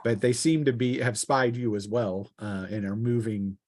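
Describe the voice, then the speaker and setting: A man with a mid-range voice works as game master at a tabletop session. He improvises the narration as he goes, in a halting, hesitant way, feeling for each phrase. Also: medium pitch, darkish tone, no room sound, clean studio sound.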